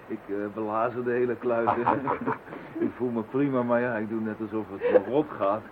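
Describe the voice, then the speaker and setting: An actor playing a good-humoured convalescing police inspector in a radio play, chuckling and playful in manner. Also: male voice, mid-range voice, steady tone, normal speed, narrow, tinny audio, lightly acted. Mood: wry, playful. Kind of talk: radio drama acting. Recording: thin, noisy old radio drama recording.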